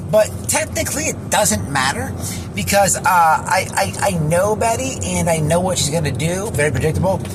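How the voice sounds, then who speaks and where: Man, somewhat high voice, swooping intonation, casual rambling host, halting repeats, slangy, background rumble. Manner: halting, with repeated words and drawn-out pauses; slangy, swearing. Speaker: YouTube commentary host. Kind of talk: casual rambling livestream-style commentary.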